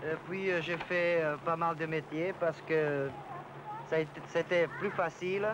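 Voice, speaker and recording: A man from Portugal, answering interview questions hesitantly, with many pauses and fillers. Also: a little high, steady tone, lo-fi sound. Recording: noisy outdoor location sound.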